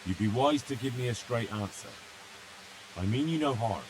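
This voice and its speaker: An actor playing a skeptical, wry young medieval hero in a video game, with a dry, questioning manner. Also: male voice, mid-range voice, lively intonation, moderate pace, background noise, lightly acted. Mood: direct. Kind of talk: dramatic video game acting.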